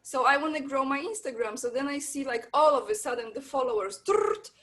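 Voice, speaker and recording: High-voiced Polish woman telling stories. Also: brisk, fairly bright tone. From Poland. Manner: eager, rambling storyteller. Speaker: guest. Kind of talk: casual interview chat.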